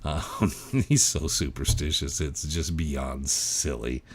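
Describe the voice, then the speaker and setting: A man's voice: very deep voice, slow pace, a little hiss or hum. A middle-aged man hosting a livestream, chatting casually and reading out viewer comments in a rambling, self-interrupting way. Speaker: livestream host.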